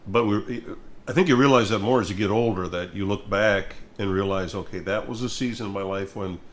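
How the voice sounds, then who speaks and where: An older man speaks in a fairly low voice. His talk is conversational and reflective. He comes across as a plainspoken, thoughtful storyteller. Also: fairly quick. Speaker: music minister and host.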